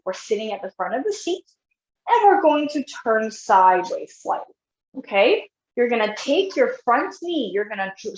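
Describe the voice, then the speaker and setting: A woman physiotherapist leading an online exercise class, guiding step by step in an encouraging way, her voice a bit croaky. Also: a little high, roomy sound, lo-fi sound. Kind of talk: live online exercise instruction.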